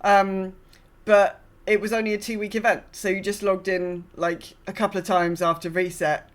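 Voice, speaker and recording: A woman's voice: medium pitch, little pitch movement, moderate pace. A woman co-hosting a podcast, chatting casually about gaming in an informal, loosely phrased way. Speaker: podcast co-host.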